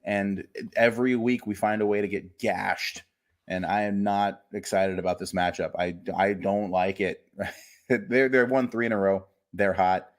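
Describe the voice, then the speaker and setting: A man's voice: lowish voice, fairly even intonation, measured pace. A man co-hosting a casual sports podcast, talking in wry, gloomy, self-mocking banter. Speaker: podcast co-host.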